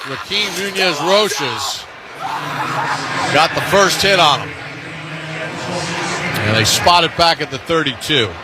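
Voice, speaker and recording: A man's voice: fairly high pitch, expressive, slow pace, long pauses. A man calling live football on the radio as play-by-play announcer, in clipped running description of the action. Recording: loud stadium crowd noise behind. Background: loud crowd and stadium noise.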